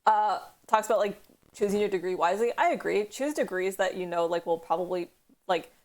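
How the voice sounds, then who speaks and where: A young woman from Canada hosting a casual stream chat, rambling quickly with lots of "like" and casual swearing. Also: mid-range voice, darkish tone. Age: young adult. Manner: fast, rambling, heavy use of "like", swears. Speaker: streamer host.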